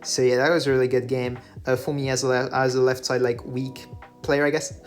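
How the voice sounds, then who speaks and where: A man whose first language is French, talking through his game replays in a relaxed voice-over full of fillers and self-corrections. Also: medium pitch, a little fast. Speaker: gaming channel host. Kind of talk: gameplay commentary voice-over. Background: faint background music.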